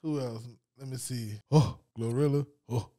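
A man co-hosting a casual podcast, joking loudly and cursing, and laughing often as he banters. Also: medium pitch, moderate pace. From United States. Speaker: podcast co-host.

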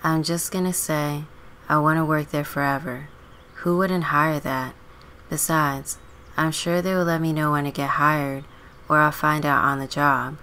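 A woman plays a teenage runaway girl in a life-simulation game story. The voice is low and dry, with a stubborn, sardonic edge. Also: steady tone, unhurried, bright, forceful tone, slight background noise, heavily acted. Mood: dry, offhand.

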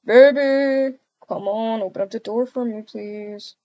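A woman's voice: fairly high pitch, a little slow, mellow, no echo, muffled recording, close to an everyday voice. A woman playing a wheedling, persistent cheating ex-boyfriend in a game roleplay. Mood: insistent. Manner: wheedling, insistent.

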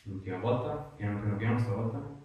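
A man's voice: on the low side, brisk, highs cut off. A man, an osteopath casually giving a patient reassuring, encouraging instructions in a treatment room. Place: small treatment room, indoors. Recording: echoey room, distant mic, faint noise.

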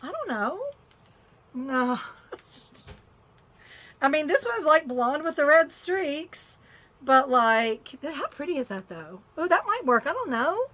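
A woman's voice: high voice, very animated, takes it slowly, pauses often, pushed and bright. Chatty woman unboxing a haul. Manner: chatty, exclaims and mutters while fiddling with items. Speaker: vlogger unboxing a shopping haul. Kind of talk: casual chatty haul vlog.

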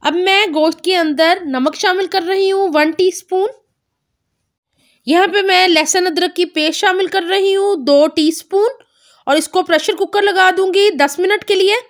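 Woman, very high voice, cooking host, calm recipe narration, careful measures. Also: moderate pace. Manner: calm instructive, naming measures. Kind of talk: step-by-step recipe voice-over.

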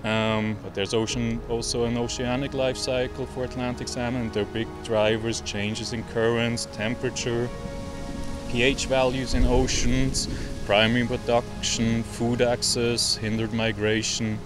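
A man's voice: medium pitch, little pitch movement, measured pace. A man in his thirties from Switzerland with a Swiss accent, explaining in a news interview outdoors on a lapel mic, sometimes searching for words. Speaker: interviewee biologist. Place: outdoors in a park. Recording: music bed under speech.

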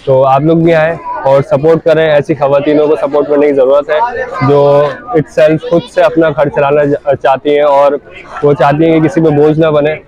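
A hoarse young man from Karachi vlogging casually at a night market, joking as he complains. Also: on the high side, little pitch movement, moderate pace, highs cut off. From Karachi, Pakistan. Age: young adult. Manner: jokey, playful complaining. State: hoarse throat. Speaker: vlogger, son. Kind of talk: casual street vlog. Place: crowded outdoor night market. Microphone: phone selfie at arm's length. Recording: busy crowd chatter behind.

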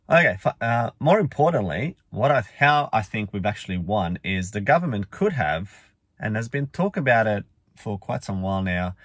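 A man, croaky from a cold, chatting casually and rambling with lots of fillers as he vlogs from inside a car. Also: low voice, dry recording, studio quality. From Australia. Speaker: finance vlogger. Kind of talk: casual talking-head vlog. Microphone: phone or camera at arm's length.

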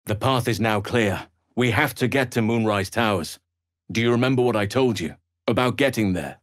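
Male actor as grave druid healer, low pitch, very dry close sound, measured formal delivery, fantasy game companion. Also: dry recording, natural voice. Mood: earnest. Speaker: video game companion character. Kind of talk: scripted fantasy game voice acting.

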